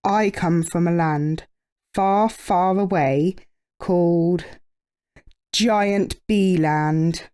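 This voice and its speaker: Woman as a sheepish, slow-talking storybook bear. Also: fairly low pitch, dark tone, clean tone. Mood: bluffing. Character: big male bear, honey-mad, sheepish bluffer. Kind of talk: children's storybook character voice.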